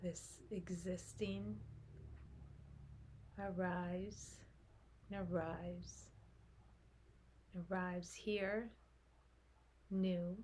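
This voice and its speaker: A woman leading a guided meditation in a soft, breathy voice, speaking gently in short phrases with long pauses. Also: fairly low pitch, little pitch movement, slight background noise. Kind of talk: guided meditation, slow unfolding instruction.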